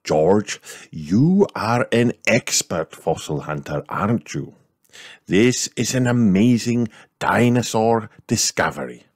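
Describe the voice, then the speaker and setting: A man doing a proud, formal rabbit gentleman. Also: fairly low pitch, animated, takes it slowly, no room sound, studio quality, voice pushed up. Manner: formal, announcing. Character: cheerful grown male rabbit, proud expert.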